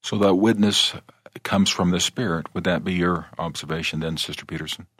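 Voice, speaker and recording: Male host, very deep husky voice, dry sound, courteous and brief. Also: fairly even intonation, normal speed, a bit bright, no echo. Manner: courteous, brief, guiding questions. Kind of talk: moderating a panel discussion.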